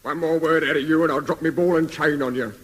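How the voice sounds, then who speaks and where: An actor playing a menacing escaped Cockney convict in an old British radio comedy. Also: male voice, a little high, fairly even intonation, fast talker, natural voice. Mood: threatening. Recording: hissy old radio recording, reverberant.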